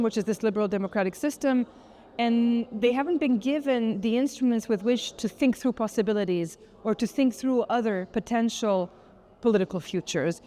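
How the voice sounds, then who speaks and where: A woman's voice: mid-range voice, dark tone. A woman speaking as an artist in an interview, explaining their work in an articulate, thoughtful way. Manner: articulate, thoughtful, frequent "kind of" hedging. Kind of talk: reflective interview explanation of an art project.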